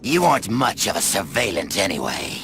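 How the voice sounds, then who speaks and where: A man playing a gruff, gravelly, fiercely loyal goblin warrior in a dramatic video game cutscene. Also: fairly low pitch, very animated, brisk, bright, forceful tone, slight background noise. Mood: indignant.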